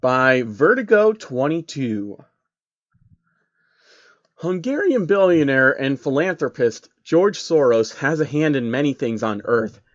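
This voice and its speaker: A man's voice: mid-range voice, big pitch swings, unhurried, no echo, dull audio. A man reading a story aloud in an informal, wry way, with amused casual asides. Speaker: narrator reading fan fiction aloud. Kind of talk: casual commentary and amateur storytelling.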